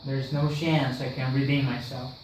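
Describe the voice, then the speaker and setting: A man acting as a devoted, self-loathing gentleman in love, in an amateur period drama scene. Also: medium pitch, flat delivery, normal speed, close to an everyday voice. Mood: solemn, lovelorn. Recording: echoey room, far from mic, faint noise.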